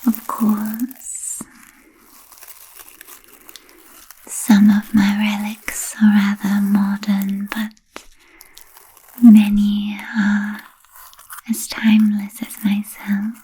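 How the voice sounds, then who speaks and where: A woman playing an ancient, refined, playfully macabre vampire in a whispered ASMR roleplay, speaking softly and formally with trailing pauses. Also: mid-range voice, completely flat delivery, full character voice. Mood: sly, wistful. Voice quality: soft breathy whisper. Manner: slow, deliberate, archly formal with trailing pauses.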